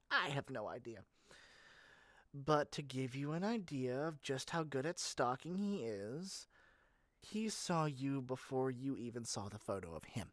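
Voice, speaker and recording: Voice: mid-range voice, no room sound, studio quality, lightly acted. A performer playing an eager, chatty salesperson in a scripted roleplay, rambling on and breezily matter-of-fact about menacing things. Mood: eager, solicitous. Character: eager, chatty salesperson matchmaking dangerous obsessive lovers. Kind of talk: scripted ASMR roleplay addressed to the listener.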